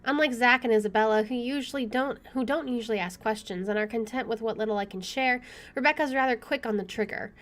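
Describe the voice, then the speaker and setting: Casting: female gaming host, higher-pitched voice, reading narrative aloud with informal reactions. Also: fast. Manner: expressive reader, reacting with casual asides. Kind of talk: reading game text aloud with asides.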